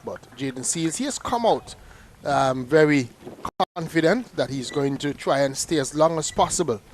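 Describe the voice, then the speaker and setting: A man's voice: fairly high pitch, animated, moderate pace, background noise. A man giving live cricket commentary in a chatty way, often repeating words as he restarts a sentence.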